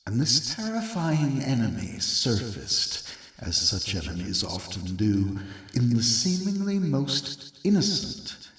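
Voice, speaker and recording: A man playing a solemn B-movie prologue narrator, speaking in a grave, deliberate and portentous way. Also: mid-range voice, big pitch swings, fairly bright tone. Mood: ominous. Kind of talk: scripted dramatic film prologue narration. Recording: echoey, distant from the mic.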